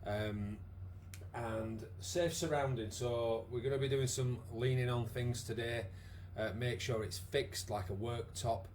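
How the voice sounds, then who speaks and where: A man with a croaky voice, leading a live online exercise class with many fillers. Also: mid-range voice, steady tone, measured pace, a little mellow, slight background noise. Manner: chatty, encouraging, lots of fillers. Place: home room with wall and bar. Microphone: device camera, moves away at times.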